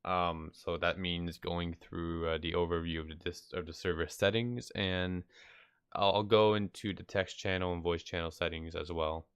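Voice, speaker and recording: Deep-voiced, stuffed-up man giving a casual tutorial. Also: fairly even intonation, normal speed, fairly bright tone, clean tone, dry recording. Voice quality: slightly nasal from congestion. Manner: hesitant, rambling, offhand. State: sick with stuffy nose. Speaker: video tutorial host. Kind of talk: casual unscripted software tutorial. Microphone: close mic, screen-recording voice-over.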